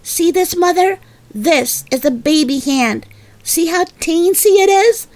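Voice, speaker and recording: A stubborn little girl played by a woman reader protests in a high, swooping voice. She is huffy, boastful and dramatic, straight out of a children's storybook, leaning on every word in childish grammar. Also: animated, slow, a little mellow, exaggerated voice. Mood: insistent.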